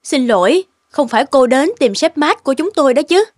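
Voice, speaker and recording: A woman's voice: sits high, expressive, fairly quick, no echo. A woman voicing a snobbish, jealous office worker in a cartoon drama, haughty and scornful. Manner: sneering, haughty. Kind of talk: animated drama dubbing. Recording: music under the voice.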